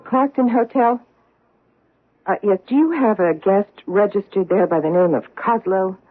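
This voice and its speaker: A woman's voice: medium pitch, moderate pace, natural voice. An actress playing a troubled wife in her forties who talks in a hesitant, guarded way, in a 1970s radio drama. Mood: nervous. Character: troubled middle-aged wife hiding a secret. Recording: tinny old radio broadcast.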